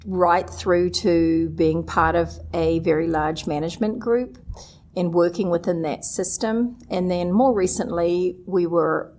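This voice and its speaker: A woman's voice: fairly low pitch, unhurried, darkish tone. A middle-aged woman from New Zealand with a New Zealand accent, earnestly listing her experience as a guest in a live conference interview. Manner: earnest, lists points one after another. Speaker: guest, association executive director.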